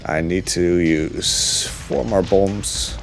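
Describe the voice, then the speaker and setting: Low-pitched male speedrunner, easygoing, filler-heavy live commentary, faint game music behind. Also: drawn-out words, vocal fry. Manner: relaxed, rambling, many filler ums and uhs. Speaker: speedrunner commentating his run.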